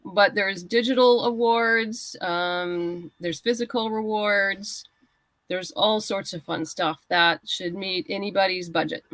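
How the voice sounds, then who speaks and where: Female guest creator, conversational and explanatory, slightly halting delivery, middle pitch, dry recording. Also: fairly even intonation, fairly bright tone, dry recording, rough recording. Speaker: guest writer and comics creator. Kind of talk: casual interview chat.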